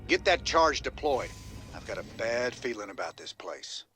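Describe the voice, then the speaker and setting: A man playing a stubborn, battle-hardened rebel commander in a video game, American, gruff and terse, giving blunt military orders. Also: medium pitch, sing-song, normal speed, a bit bright, faint music behind. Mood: urgent, commanding. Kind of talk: video game cutscene acting.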